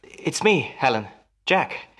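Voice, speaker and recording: A man's voice: mid-range voice, sing-song, slow pace, pushed and bright, heavily acted. An actor in a radio play as a jealous husband, musing theatrically and teasing his wife with menace. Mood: concerned.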